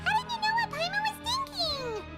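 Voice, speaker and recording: A woman voicing a tiny, chatty and excitable fairy companion in a video game, squeaky and childlike, in an exclamatory manner. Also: brisk. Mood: bubbly, searching. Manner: talks about herself in third person, exclamatory. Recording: game music behind the voice.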